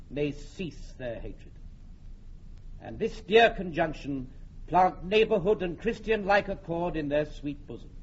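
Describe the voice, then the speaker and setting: A man's voice: sits high, husky. An actor playing a solemn older king of France, a father giving his blessing, in Shakespearean radio drama style. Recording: muffled old radio recording.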